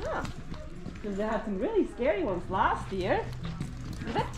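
A woman's voice: fairly high pitch, sing-song, moderate pace, loud music behind the voice. A woman, a service dog handler, chatting casually and praising her dog with cheery coaxing while walking through a mall. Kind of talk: casual vlog chat while training a dog. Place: indoor shopping mall and stores. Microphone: action camera worn low on a dog. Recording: loud mall background noise.

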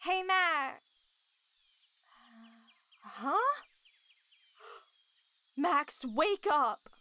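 A performer voicing a young woman's-pitched, cautious companion in an audio drama, worried and exasperated. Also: very animated, extremely slow, sharp tone, exaggerated voice. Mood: alarmed. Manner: protesting, exasperated.

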